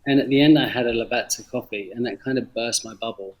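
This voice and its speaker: Middle-aged London man, halting, emphatic storyteller. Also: mid-range voice, rough recording. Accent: London English. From London, England. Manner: halting, emphatic, repeats phrases. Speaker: interview guest, former banker turned coffee farmer. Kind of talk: reflective personal storytelling in a remote interview. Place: remote video call from Indonesia.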